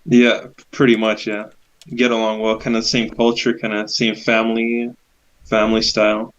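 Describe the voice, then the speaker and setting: A man from Romania with a fairly low voice, heard through dull, lo-fi remote audio. He co-hosts a casual gaming chat and speaks in a laid-back, hesitant way, hedging as he goes. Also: monotone, moderate pace. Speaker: podcast co-host.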